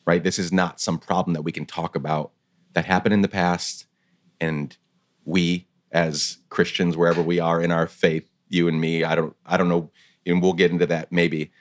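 Deep-voiced reflective male host. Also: little pitch movement, normal speed. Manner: reflective, empathetic, emphatic repetitions. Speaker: podcast host. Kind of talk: conversational interview.

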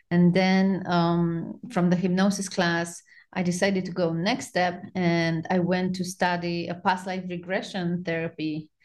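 A woman speaks with a Romanian accent in a somewhat low-pitched voice. She is a podcast guest, recounting her own experiences in a casual, conversational way. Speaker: podcast guest, spiritual practitioner. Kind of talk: casual personal storytelling in conversation.

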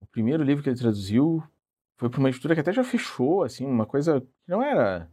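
A man's voice: mid-range voice, big pitch swings, fast talker, mellow. A man, a translator telling his story in an interview, hesitant and self-deprecating with many restarts. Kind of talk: relaxed conversational storytelling.

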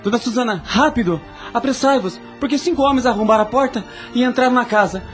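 A woman's voice: on the high side, quick pace, soft background music, close to an everyday voice. A woman voicing an alarmed, breathless loyal manservant in a radio drama. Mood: urgent, alarmed.

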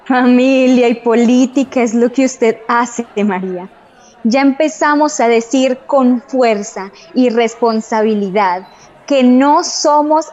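An actress with a Colombian accent playing an earnest, encouraging suffragist in a radio drama, slightly dull recording. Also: female voice, fairly high pitch, a little mellow.

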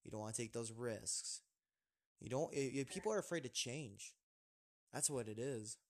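A man's voice: medium pitch, normal speed. A young man hosting a casual podcast chat, rambling with lots of fillers and restarts. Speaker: podcast host.

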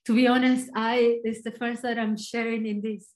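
A woman with an Argentinian accent giving a short, earnest devotional talk, reflective and pausing. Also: on the high side, level tone, darkish tone. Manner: earnest, reflective, slow pauses. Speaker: church speaker.

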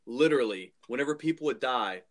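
A man's voice: mid-range voice, lively intonation, fairly quick. A man in his thirties hosting a casual podcast chat, informal, emphatic and opinionated. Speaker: podcast host.

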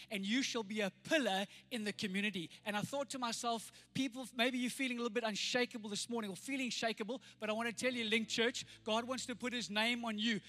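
A middle-aged South African man with a slightly croaky voice, praying and preaching a sermon with earnest, rising emphasis. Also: high voice, pushed and bright. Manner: earnest, builds to rousing emphasis. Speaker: pastor. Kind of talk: sermon with prayer.

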